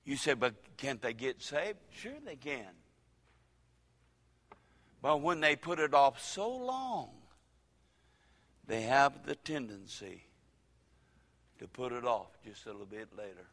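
Older male pastor, folksy sermon delivery, forceful bright tone, long church reverb. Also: mid-range voice, sing-song. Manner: folksy preaching with dramatic pauses and sudden loud rises.

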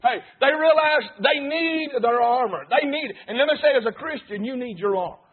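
A man's voice: very high voice, fairly quick, breathy edge. A man preaching an emphatic revival sermon, punctuating lines with "hey" and "amen". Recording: tinny phone-like sound.

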